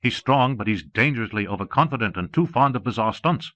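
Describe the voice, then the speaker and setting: A man's voice: medium pitch, lively intonation, brisk, fairly bright tone, natural voice. A man voicing an anxious, devoted female servant creature in an audiobook, earnest and questioning in formal speech. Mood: earnest, cautious. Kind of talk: audiobook character dialogue. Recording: dull lo-fi cassette audiobook.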